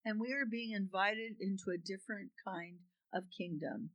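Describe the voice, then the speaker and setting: A woman giving a calm, deliberate scripted reading. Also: mid-range voice, dry recording, muffled recording. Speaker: reader.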